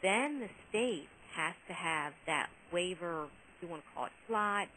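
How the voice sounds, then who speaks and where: A woman's voice: lowish voice, animated, measured pace, sharp tone, rough recording. A woman answering questions on a conference call as a program official, explaining in detail in a conversational, hesitant way. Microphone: conference call phone line. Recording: hissy conference call audio.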